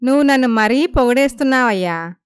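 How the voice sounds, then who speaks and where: A woman voicing a friendly, flattering village sister-in-law who gossips, in a moral story. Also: high voice, a little fast, clear, resonant tone, no room sound, studio quality. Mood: coaxing, catty.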